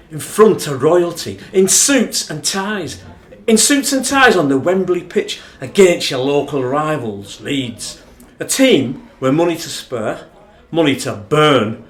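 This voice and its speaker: An actor with a Northern English accent playing an impassioned rugby fan in a radio play, brooding over an old defeat. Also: male voice, on the high side, a bit bright. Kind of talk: dramatic radio play retelling. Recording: roomy, echoing sound.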